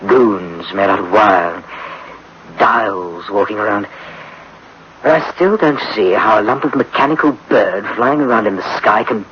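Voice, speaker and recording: A man's voice: on the low side, a little hiss or hum, natural voice. A man playing a disgruntled, skeptical veteran homicide cop in a radio drama, sardonic and slangy, on a muffled old recording. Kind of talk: 1960s-style radio drama acting.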